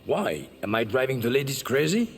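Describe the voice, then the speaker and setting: An actor playing a cheeky, brash action star in a video game, delivering mocking, breezy banter. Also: male voice, medium pitch, sing-song, fairly quick, breathy edge, in a large hall, faint music behind.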